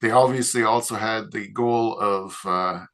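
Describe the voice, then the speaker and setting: A man's voice: lowish voice, steady tone, a little slow, a bit bright. A man hosting a panel discussion, talking casually and loosely with frequent fillers. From Canada. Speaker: host, mechanical engineer.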